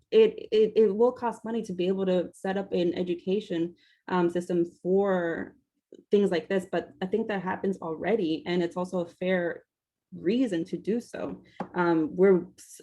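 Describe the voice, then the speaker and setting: A woman's voice: on the low side, gravelly, warm tone. A woman from Washington, D.C. speaking as a panelist, hesitant, with many fillers and restarts. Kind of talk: panel discussion, advocating.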